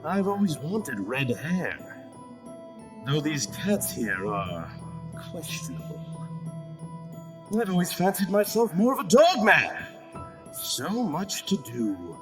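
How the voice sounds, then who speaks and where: A pompous, archly polite spirit who has taken over a body, swoops dramatically from line to line. The delivery is slow and theatrical with a menacing edge, in a podcast horror skit with loud music underneath. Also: male voice, a little high, wildly swooping pitch, pitched above the performer's own voice, heavily acted. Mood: smug, gleeful. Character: genteel, theatrical male spirit possessing a man, menacing.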